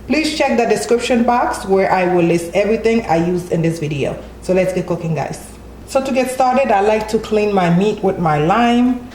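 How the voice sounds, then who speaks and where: A woman's voice: medium pitch, expressive, normal speed, darkish tone, roomy sound, slight background noise. A woman hosting a home cooking tutorial, walking through each step in a friendly way and often adding "okay?" as she goes. She is from Haiti. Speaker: cooking channel host. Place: home kitchen.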